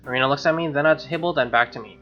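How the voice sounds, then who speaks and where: A man's voice: a little high, racing. A man hosting a gaming video, reading a visual novel aloud with casual, snarky and profane asides. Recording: dull lo-fi mic over music. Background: game music with guitar.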